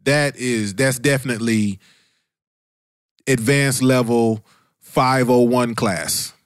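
A man's voice: medium pitch, fairly even intonation, slow pace, clear, resonant tone, dry recording, clean studio sound. A man with an American accent in a casual, opinionated discussion, speaking informally with slang and some cursing. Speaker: podcast panelist.

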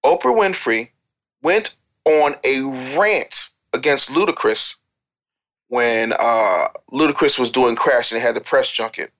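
A man's voice: medium pitch, unhurried, a bit bright. American guy on a talk show, opinionated, emphatic storyteller, repeats lines to drive them home. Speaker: interview guest.

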